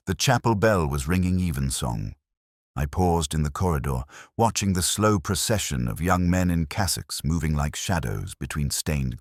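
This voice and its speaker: A man playing a brooding, measured detective inspector, narrating his case in solemn, deliberate, literary first-person mystery prose. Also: rumbling low, no room sound, clean studio sound, heavily acted.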